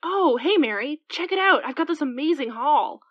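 A fairly high young woman's voice, recorded dry and a little dull, an actress in an audio drama. She is a chatty but defensive neighbor, conversational and open with her feelings. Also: animated, normal speed, no room sound. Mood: breezy, puzzled.

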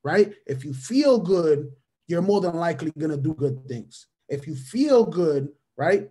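A man's voice: a little high, warm tone, slightly rough. A man in his thirties, a native Spanish speaker from Venezuela, gives a motivational mentoring webinar over a video call. He repeats his key phrases and keeps checking in with listeners by asking "right?". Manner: ends almost every point with a questioning "right?". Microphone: webcam or headset on a video call.

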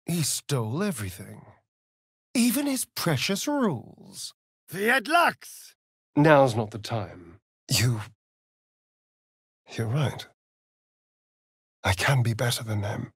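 An actor playing an arch, flirtatious, sardonic vampire rogue in a video game, with a theatrical drawl. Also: male voice, medium pitch, sing-song, takes it slowly, pauses often, fairly bright tone, slightly rough, no echo, clean studio sound, heavily acted. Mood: bitter, resolved. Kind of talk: video game character dialogue.

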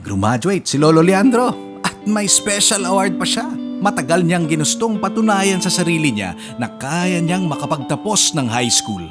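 Pompous, high-pitched cartoon cat general, Filipino accent. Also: male voice. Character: proud, scornful alien cat general narrating his Earth observations. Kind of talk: animated cartoon narration.

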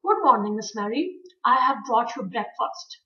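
Woman reading as kindly housemaid, storybook dialogue, cheerful, practical, fairly high voice, far from mic. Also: expressive, moderate pace, bright, forceful tone. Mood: friendly. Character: friendly, kindly young Yorkshire maid, chatty and practical. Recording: echoey room, distant muffled mic.

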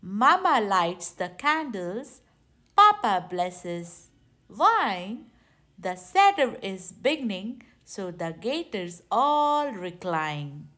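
A woman narrating a children's picture book aloud in a deliberate, expressive way. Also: mid-range voice. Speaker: children's storybook reader.

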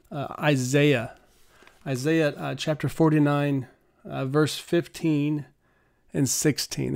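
A middle-aged man hosting a prayer livestream from his living room, speaking softly and earnestly into a studio mic, halting with many fillers. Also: mid-range voice, gravelly, clean tone. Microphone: large studio mic on arm.

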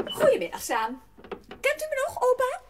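A woman's voice: very high-pitched, wildly swooping pitch, normal speed, very noisy, full character voice. An actress playing a haughty, pushy city lady in a radio play, chattering and insistent. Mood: affected, eager. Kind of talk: Dutch radio play acting.

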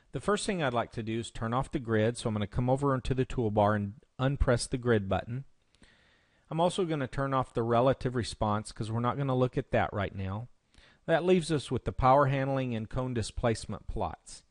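A man presenting a software tutorial, explaining step by step in instructional screencast narration. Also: medium pitch, dry recording, studio quality.

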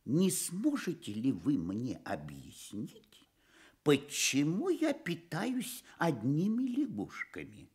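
An actress playing a naive, self-satisfied stork in a children's radio story, theatrical and musing. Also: female voice, low voice, wildly swooping pitch, takes it slowly, a little mellow, husky, full character voice. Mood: curious.

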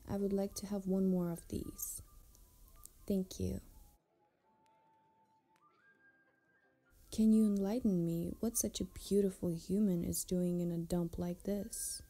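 A woman playing a flirtatious, tender pirate captain in an intimate ASMR roleplay, speaking softly and often close to a whisper. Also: mid-range voice, steady tone, faint music behind. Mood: flirtatious, curious. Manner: soft, breathy, often near whisper, unhurried. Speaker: audio roleplay performer.

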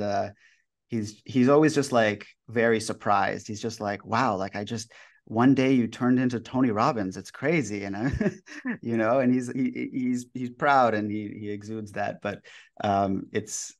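A man's voice: on the low side, measured pace. Young man chatting loosely on a video call. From United States. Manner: relaxed, loose, with many fillers and restarts. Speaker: guest author. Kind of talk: casual interview talk. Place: bright room at home.

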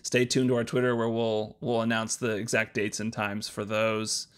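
A man's voice: lowish voice, completely flat delivery, normal speed, a bit bright, smooth. A man co-hosting a podcast, chatting in an informal, conversational way. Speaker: podcast co-host. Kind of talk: casual podcast chat.

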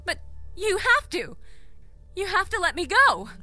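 Desperate captive with a bright, swooping voice. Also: female voice, extremely high pitch, big pitch swings, slow pace, no room sound, full character voice. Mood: pleading. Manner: emotional, pleading, defiant. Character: distressed, pleading female captive insisting she is human. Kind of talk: audio drama acting. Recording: music under the voice.

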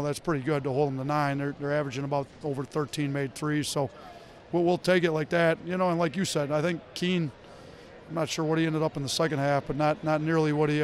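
Thirties male coach, flat and level delivery, a bit high, post-game in an arena. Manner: steady, filler-heavy speech. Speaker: head basketball coach. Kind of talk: post-game interview answers. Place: basketball arena courtside. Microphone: reporter's handheld mic.

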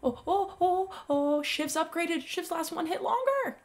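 Raspy young woman gaming. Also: very high-pitched, a little slow, a little hiss or hum. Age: young adult. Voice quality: raspy from yelling. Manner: excitable, exclaiming at finds. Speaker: gaming YouTuber. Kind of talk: let's play commentary.

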